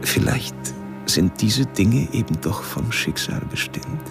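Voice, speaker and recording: An actor playing a cold-blooded, philosophizing serial killer who narrates a radio crime drama in a deliberate, confiding, coolly pompous way. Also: male voice, lowish voice, expressive, gravelly, heavily acted. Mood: confiding, wry. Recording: music under the voice.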